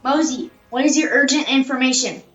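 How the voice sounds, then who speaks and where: A woman's voice: high-pitched, steady tone, fairly quick, fairly bright tone, faint music behind. A woman playing a stately, formal imperial figure in an audio play, speaking in a declamatory way. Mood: stern. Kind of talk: amateur audio-play acting. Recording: roomy, slightly dull home recording.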